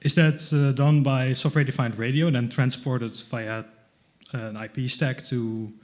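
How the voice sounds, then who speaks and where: A man's voice: mid-range voice, steady tone, moderate pace, a little mellow. A man in the audience politely asking a technical question in a conversational way.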